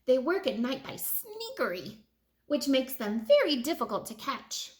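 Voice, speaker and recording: A fussy, businesslike gentleman who runs a museum in a children's storybook, read by a woman. The pitch is on the high side and moves about a lot. He sounds easily worried. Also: big pitch swings, unhurried.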